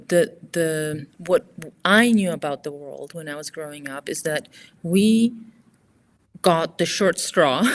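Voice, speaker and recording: A woman, a climber and author telling her story as an interview guest, reflective and soft-spoken, trailing off at the ends of phrases. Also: low-pitched. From Poland. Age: 40s.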